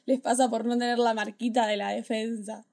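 A woman's voice: on the high side, little pitch movement, brisk, gravelly, darkish tone. A woman with an Argentine Spanish accent, co-hosting a casual podcast chat in a joking, ironic way. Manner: jokey, ironic, laughs often. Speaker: podcast co-host.

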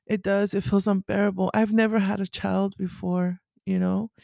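Female podcast host, raspy and creaky, earnest, halting delivery for a personal faith monologue. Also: medium pitch, level tone, mellow, no echo, studio quality. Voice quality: raspy early-morning voice. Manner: halting, earnest, with throat clearing.

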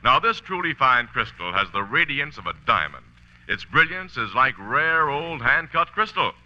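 A man's voice: medium pitch, sing-song, normal speed, sharp tone. A man reading a polished, emphatic scripted advertisement as a vintage radio announcer. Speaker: commercial announcer. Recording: dull vintage radio recording.

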